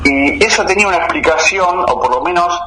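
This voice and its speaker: A man in his fifties with a Rioplatense Argentine accent speaks in a fairly high, bright and forceful voice. As a radio guest he lays out his account at an unhurried pace, full of detail, with faint noise behind him. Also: low-quality recording. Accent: Rioplatense Argentine Spanish. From Buenos Aires, Argentina. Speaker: guest journalist. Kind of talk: radio interview, recounting local cases.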